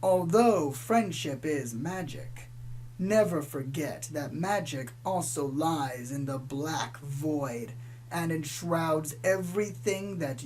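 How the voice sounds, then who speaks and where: A woman playing a solemn, ominous pony radio host in a scripted radio drama, with a measured, theatrical delivery. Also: sits low, darkish tone, a little hiss or hum, low-quality recording. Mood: ominous, hushed. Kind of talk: scripted radio drama narration, spoof community radio.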